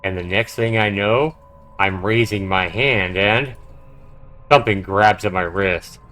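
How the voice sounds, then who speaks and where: Low-voiced male game streamer. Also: unhurried, fairly bright tone, faint music behind. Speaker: livestreamer playing and narrating a game. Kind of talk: dramatic read-aloud of visual novel with casual commentary.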